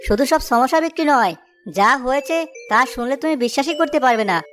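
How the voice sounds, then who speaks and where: Woman voicing an earnest village samosa seller, high-pitched. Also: expressive, a little fast, fairly bright tone. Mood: excited, hopeful. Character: honest hardworking village samosa seller, a married man. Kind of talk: animated moral-story cartoon dialogue. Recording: music behind the voice.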